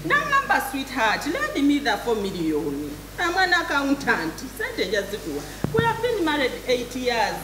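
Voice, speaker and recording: A woman's voice: sits high, huge pitch swings, moderate pace, hardly any pauses. A middle-aged woman with a Ugandan accent playing a defensive, dramatic business-owning wife in a stage comedy. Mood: accusing. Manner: emphatic, theatrical, repetitive. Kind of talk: live stage comedy acting. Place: theatre stage. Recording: echoey theatre stage, clear audience noise.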